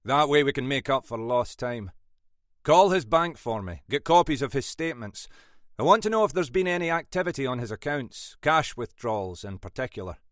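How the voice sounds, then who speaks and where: A man's voice: medium pitch, expressive, moderate pace, no echo, clean studio sound, pitched above the performer's own voice, lightly acted. A man voicing a blunt, scolding older Scottish detective boss in an audiobook. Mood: brisk, exasperated. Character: gruff older Scottish male detective boss, stern but fatherly. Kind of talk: audiobook character dialogue.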